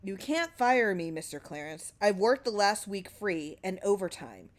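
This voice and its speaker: A woman's voice: mid-range voice, sing-song, a little slow. A nervous, put-upon animator makes his protest in plain words as a woman reads him aloud. He is a storybook underdog standing up to his boss.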